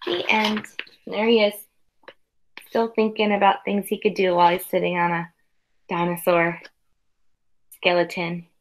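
A woman's voice: on the low side, flat delivery, unhurried, long pauses, slight background noise, poor audio. A woman in her thirties reading a picture book aloud as a teacher, expressively, with simple asides for children. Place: small room at home.

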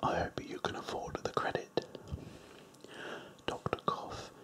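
A man voicing an eccentric, crude foreign male doctor in a deadpan whisper. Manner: whispery, slow, deadpan joking. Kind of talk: comedic ASMR roleplay.

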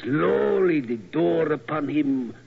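An actor playing a polite German castle guide with a grim, deliberate, dramatic manner in an old radio play. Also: male voice, a little high, lively intonation, gravelly, exaggerated voice. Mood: grimly dramatic. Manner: deliberate, inverted foreign word order. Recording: dull old radio recording.

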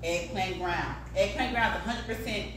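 A woman's voice: medium pitch, moderate pace. A forty-year-old American woman hosting a cooking show, chatting casually and emphatically to viewers and guests. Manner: emphatic, punctuating with "okay" and "y'all". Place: home kitchen, indoors. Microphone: phone camera a few feet away. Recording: echoey, distant, clearly noisy kitchen recording.

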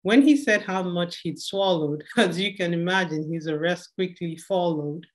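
A young woman reading a rhyming story aloud in an expressive storytelling style. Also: lowish voice, moderate pace, poor audio. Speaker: poem reader. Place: home room indoors.

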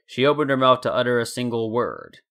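Male story narrator, medium pitch. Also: big pitch swings, a little fast, a bit bright. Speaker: narrator and fan fiction reader. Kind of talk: dramatic scripted story narration.

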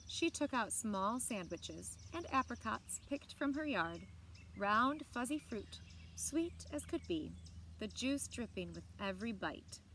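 Female story time reader, thirties. Slightly high voice, expressive, deliberate read-aloud, recorded outside with birds and background noise. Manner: measured, deliberate phrasing with dramatic emphasis. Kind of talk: expressive children's picture book read-aloud. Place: outdoors on a lawn by trees. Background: outdoor ambience, birds.

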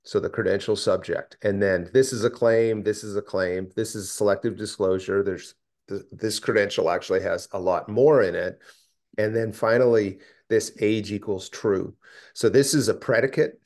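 Guy with lowish voice presenting tech stuff on a video call, kinda halting, thinking out loud. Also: steady tone. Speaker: meeting presenter. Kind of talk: technical explanation on a group call. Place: indoor room, video call.

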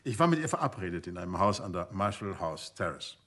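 An actor playing a composed, courteous and urbane detective in a vintage radio play, speaking conversationally with a slightly husky voice. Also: male voice, low voice, big pitch swings, fast, bright, forceful tone, heavily acted. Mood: probing.

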